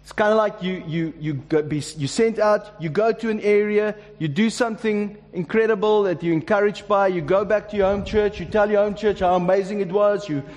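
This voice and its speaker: A man's voice: high-pitched, a little fast, hardly any pauses, darkish tone. A man preaching a sermon to a church congregation, with a casual, joking manner and frequent restarts. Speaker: pastor. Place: church hall. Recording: long hall reverb.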